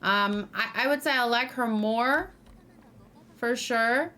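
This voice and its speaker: High-voiced woman chatting through a game. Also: slow, sharp tone. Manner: chatty, musing aloud. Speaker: gaming streamer. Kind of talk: casual let's play commentary.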